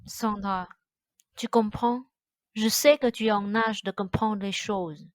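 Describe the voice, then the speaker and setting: A woman's voice: medium pitch, steady tone, takes it slowly, no room sound, lightly acted. A woman playing a weak-willed, weary father in a radio drama, placating and imploring. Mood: weary, pleading.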